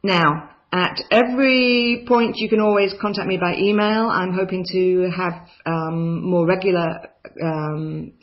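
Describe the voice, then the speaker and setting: Enthusiastic woman tutoring a writing course. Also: lowish voice. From New Zealand. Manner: enthusiastic, chatty, with frequent ums. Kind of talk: course overview narration over slides. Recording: slightly dull lo-fi voice-over.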